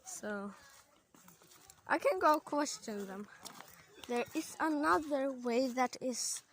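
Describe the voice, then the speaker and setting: Slow, hesitant Swedish woman vlogging outdoors. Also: high-pitched, lively intonation, a little hiss or hum. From Sweden. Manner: hesitant, many fillers, chatty asides. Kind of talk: casual walking vlog. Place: outdoor campsite among trees.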